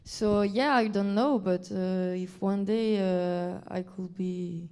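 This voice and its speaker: Mellow-voiced French-accented woman. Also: medium pitch, fairly even intonation, clear, resonant tone. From Belgium. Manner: halting, searching English with many fillers. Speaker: guest singer. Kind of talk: live audience Q&A.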